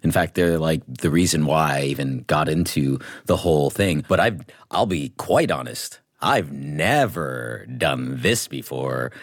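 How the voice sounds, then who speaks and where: A North American man from Canada chatting informally and haltingly as a voice actor in an interview. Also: a bass voice, animated, dry recording, clean studio sound. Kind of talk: casual interview chat. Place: studio.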